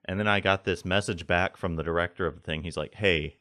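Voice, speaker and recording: A man's voice: fairly even intonation, brisk, pushed and bright, dry recording, studio quality. A man who works as a voice actor, telling stories in an easygoing way on a podcast. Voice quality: deep voice. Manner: storytelling, easygoing. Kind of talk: casual podcast chat.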